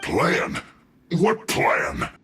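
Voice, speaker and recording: A man's voice: mid-range voice, wildly swooping pitch, extremely slow, a bit bright, heavily acted. A man playing a hapless, aggrieved henchman in an anime game, defensive and exasperated, with a whiny, theatrical delivery. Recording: roomy echo, game music behind.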